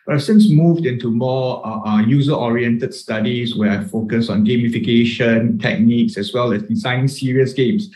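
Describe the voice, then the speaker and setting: A man's voice: mid-range voice, normal speed, few pauses, darkish tone, rough recording. Male academic panelist, mid-range voice, friendly brief intro. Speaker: professor, panelist.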